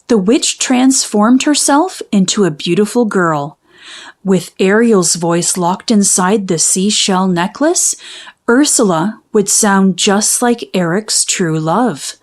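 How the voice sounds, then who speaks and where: A woman narrating a children's storybook in an expressive, measured storytelling manner. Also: mid-range voice. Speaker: children's book read-aloud narrator.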